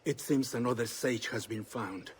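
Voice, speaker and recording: A man playing the stern, solemn leader of an assassin order in a video game, formal and measured. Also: medium pitch, a little hiss or hum, close to an everyday voice. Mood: grave, reproachful. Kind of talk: dramatic video game acting.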